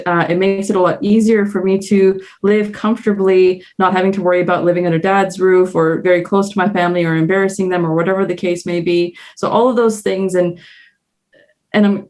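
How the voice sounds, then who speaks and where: A woman's voice: mid-range voice, a little mellow. A woman with a Canadian accent, speaking as a guest on a panel. She talks in an earnest, reflective way and thinks aloud as she goes. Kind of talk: candid panel discussion.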